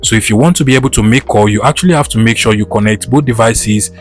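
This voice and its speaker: A man's voice: lowish voice, fast talker, soft background music. A man presenting a tech tutorial in step-by-step narration, with an instructional, methodical way of talking.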